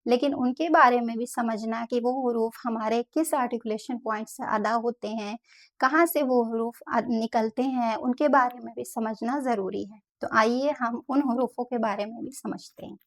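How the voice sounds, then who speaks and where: Lady teacher, slightly high voice, slows down and repeats each letter sound for practice. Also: steady tone. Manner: slow, careful demonstration of letter sounds with repetition. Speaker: Quran pronunciation teacher. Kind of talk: step-by-step tajweed lesson.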